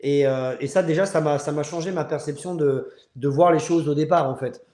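Casting: male host, 30s, fairly high voice, earnest and halting, casual live chat from a very roomy-sounding space. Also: mellow. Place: room at home. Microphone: earphone mic on video call. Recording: roomy, echoing video-call audio.

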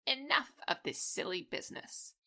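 Woman doing a sly teen girl for an audio drama, bright sharp voice, impatient and teasing. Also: a little high, expressive, normal speed, heavily acted. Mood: playful, challenging.

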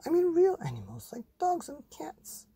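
A fretful storybook mum, acted out by a man, coaxes and pries gently. The voice is pushed high and swings up and down in a soft lilt. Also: wildly swooping pitch, moderate pace, creaky, no room sound, heavily acted. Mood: questioning, concerned. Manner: lilting, coaxing questions. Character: fretful, gently prying mother of a young boy.

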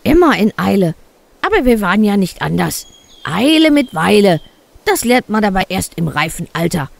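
Rambling, nostalgic old wife, lively pitch. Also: female voice, moderate pace, vocal fry. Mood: wistful, gently chiding. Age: older. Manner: rambling, reminiscing. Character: chatty, nostalgic elderly wife, rambling and unhurried. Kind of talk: German audio drama acting.

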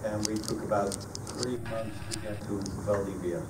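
A Dutch-accented native Dutch man in his middle years fielding questions haltingly at a club evening, heard from the back of a reverberant room. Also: on the low side, fairly even intonation. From Netherlands. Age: middle-aged. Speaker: guest speaker, sailor. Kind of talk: answering audience questions at a public talk. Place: meeting room at a sailing club. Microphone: handheld PA microphone, recorded by a camera across the room. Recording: echoey room, distant camera microphone, noisy.

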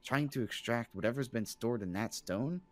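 A man's voice: mid-range voice, normal speed, natural voice. A man playing a knowledgeable young student mage, explaining and musing hesitantly in an improvised game roleplay.

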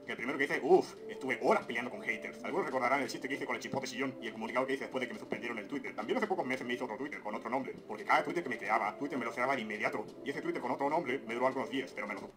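A young man with an unusually deep, announcer-like voice calmly explaining his side of a controversy into a webcam at home. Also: racing, hardly any pauses, crowd noise. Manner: calm explanatory storytelling. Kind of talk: confessional video monologue. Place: dim room at home.

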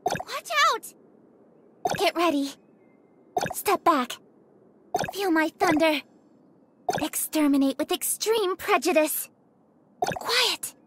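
An actress voicing a gentle, earnest young nurse in a video game, with soft, polite and melancholy delivery. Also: female voice, extremely high pitch, lively intonation, slow, pauses often, background noise, exaggerated voice. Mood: urgent, commanding. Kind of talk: video game character voice lines.

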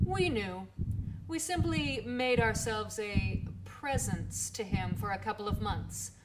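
A woman playing a matter-of-fact, knowing police officer who speaks deliberately and pointedly in a radio drama. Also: medium pitch, a loud crowd, close to an everyday voice. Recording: clearly noisy radio drama recording.

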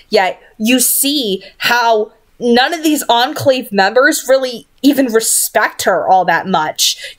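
A woman in her twenties reading a novel aloud and commenting casually in a small room at home. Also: mid-range voice. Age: 20s. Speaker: amateur book narrator and vlogger. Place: small bedroom, indoors.

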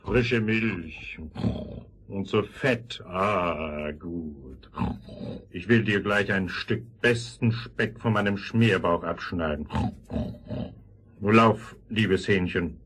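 A man voicing a lazy, greedy fat pig in a children's audio fairy tale, husky and sluggish. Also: low voice, slow pace, a bit bright, exaggerated voice. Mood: lazy, contented.

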